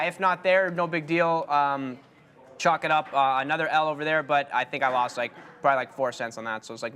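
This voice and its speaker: A laid-back man talking through his day trades live, rambling loosely with plenty of fillers. His voice is a bit higher than most men's. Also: bright, forceful tone, smooth. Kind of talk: live trading commentary.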